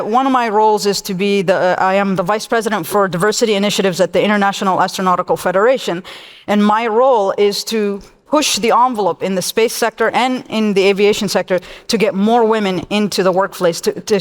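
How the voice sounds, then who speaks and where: A woman with an American accent giving an explanatory answer as a panelist. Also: medium pitch. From United States. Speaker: panelist, space sector executive. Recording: reverberant conference hall.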